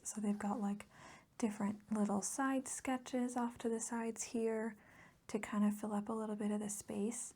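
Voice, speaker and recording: A woman speaking in a soft, whispery voice for a casual ASMR chat, rambling along with gentle enthusiasm close to the mic. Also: mid-range voice, gravelly, breathy edge. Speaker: ASMR video host.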